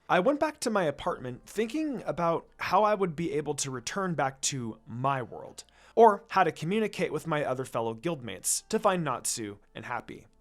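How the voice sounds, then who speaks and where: A man reading fan fiction aloud as expressive scripted story narration. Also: a little high.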